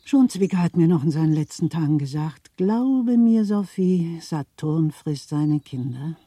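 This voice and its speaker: Gossipy worldly widow, theatrical, insinuating, husky, wide swoops, radio play. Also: female voice, deep voice, expressive, unhurried, warm tone, dry recording, clean studio sound, full character voice. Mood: indignant, relishing. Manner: theatrical, insinuating, chatty, repeats words for emphasis. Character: worldly, gossipy middle-aged widow of a Berlin official. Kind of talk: German radio play acting.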